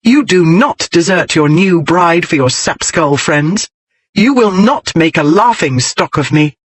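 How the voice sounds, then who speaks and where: A man voicing a spirited young noblewoman who is bold and defiant, speaking in a pert, insistent way with a husky tone. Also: on the high side, animated, a little slow, dry recording. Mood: indignant. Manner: pert, insistent, rising to anger.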